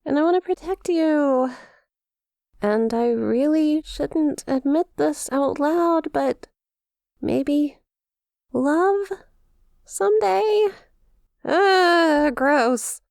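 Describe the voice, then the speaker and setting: A woman's voice: high voice, extremely slow, creaky, long pauses, a little mellow, dry recording, clean studio sound, heavily acted. A woman voicing a centuries-old demon imp in an ASMR roleplay, haughty and mock-formal yet awkwardly lovestruck, slipping into hesitant casual speech. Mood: tender, awkward, then flustered, conceding. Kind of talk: ASMR character roleplay addressed to listener.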